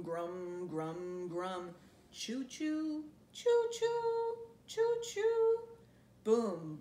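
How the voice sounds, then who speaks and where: A woman in her thirties reading a children's picture book aloud expressively, drawing out words and playfully imitating noises. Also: high-pitched. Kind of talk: animated picture-book read-aloud with playful sound effects. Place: living room couch, indoors. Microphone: camera a few feet away. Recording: roomy, echoey sound with faint noise.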